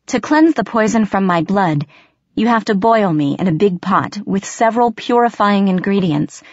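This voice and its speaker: A woman voicing a frightened but quick-thinking captive girl who bluffs and pleads, in an audiobook. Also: medium pitch, lively intonation, no room sound, dull audio, voice pushed up. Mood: anxious bluffing. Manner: bluffing, pleading, hesitant when cornered. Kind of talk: audiobook character voice.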